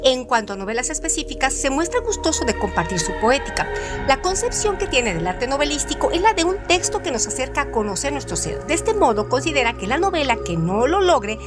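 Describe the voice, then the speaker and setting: A woman's voice: sits high, very animated, fairly quick, loud music behind the voice. A woman reading scripted literary radio narration in a formal, declamatory manner.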